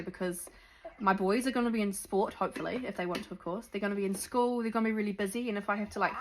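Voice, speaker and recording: Young kiwi woman yakking to camera, mid pitch, lots of um and like, bit of faint hiss behind. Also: fairly bright tone. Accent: New Zealand. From New Zealand. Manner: chatty, lots of ums and likes. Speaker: vlogger. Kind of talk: sit-down chat to camera.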